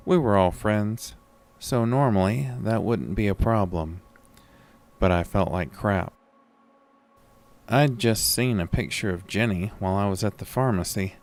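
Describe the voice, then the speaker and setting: A man narrating a first-person horror story from a script. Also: low voice.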